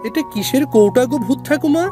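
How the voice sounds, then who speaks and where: A woman's voice: high voice, a little fast, music in the background, lightly acted. A woman voicing a polite, earnest young boy, respectful and full of wonder, in a children's cartoon.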